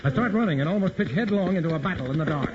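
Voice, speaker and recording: A tough-talking undercover agent. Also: male voice, high-pitched, animated, racing. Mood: grim. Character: tough undercover man, grim and steady. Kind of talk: 1940s radio drama, first-person narration. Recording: loud hiss on a dull old radio recording.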